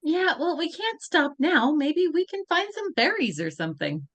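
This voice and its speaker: A brave teenage girl on a quest speaks eagerly in a high, bright register, as a woman reads her part. Her pitch moves all over the place as she reacts. The sound is dry and close. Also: big pitch swings, moderate pace, dry recording. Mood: cheerful.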